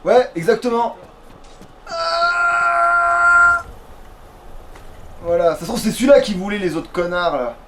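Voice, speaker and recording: A man's voice: very high-pitched, sing-song, takes it slowly, long pauses. A man doing casual, slangy let's play commentary with a friend, swearing freely as he talks. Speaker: let's player. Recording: background noise and game ambience. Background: video game sound.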